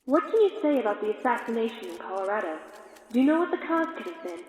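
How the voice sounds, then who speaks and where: A woman's voice: on the high side, very animated, fast talker. A woman playing an insistent press reporter in an audio drama, firing questions urgently. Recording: dull, echoey hall with crowd.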